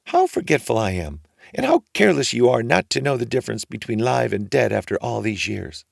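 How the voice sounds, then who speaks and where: Man putting on a high fussy ogre's wife. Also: sing-song, a little fast, vocal fry, no room sound, studio quality, exaggerated voice. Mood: chiding, fussy. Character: ogre's fussy, chatty, doting wife, older woman.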